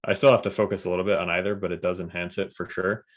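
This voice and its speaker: A man hosting a casual conversation, speaking in a thoughtful, measured way. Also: sits low, level tone. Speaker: podcast host.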